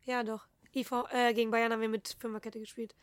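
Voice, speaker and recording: Guest, young woman in her twenties, soft-spoken, thoughtful, slightly high voice, dry close mic. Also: level tone, fast talker, smooth, no echo. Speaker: guest, Bundesliga footballer. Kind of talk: casual podcast interview.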